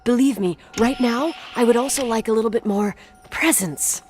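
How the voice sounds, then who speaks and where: A woman's voice: a little high, moderate pace, darkish tone, faint music behind, close to an everyday voice. An actress playing a strong-willed young woman in a video game, guarded and dryly teasing, haunted by her past. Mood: tense, impatient. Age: young adult. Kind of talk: naturalistic video game voice acting.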